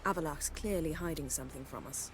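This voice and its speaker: An actress playing a composed, suspicious sorceress in a video game cutscene, with formal, pointed phrasing. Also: female voice, low voice, fast, a little hiss or hum, natural voice.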